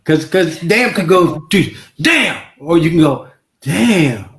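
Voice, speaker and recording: Emphatic man with a higher voice. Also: expressive, takes it slowly, a bit bright. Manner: emphatic, repeats words, tags with "right?". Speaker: musician guest. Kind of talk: casual interview chat.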